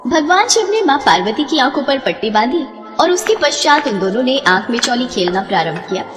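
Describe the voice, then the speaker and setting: A woman's voice: sits high, big pitch swings, brisk, fairly bright tone, big-room sound, faint music behind. A woman reading scripted narration for a devotional story.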